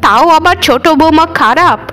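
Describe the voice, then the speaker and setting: A woman's voice: high-pitched, animated, normal speed, sharp tone, faint music behind. A woman voicing a stern old village mother-in-law in a cartoon, declaiming dramatically. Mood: furious scolding. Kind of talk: cartoon voice acting.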